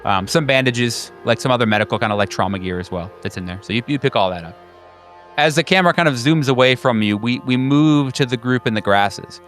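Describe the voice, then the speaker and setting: A man narrating an improvised tabletop horror game as game master, halting and conversational in his descriptions. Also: mid-range voice, a bit bright, soft background music.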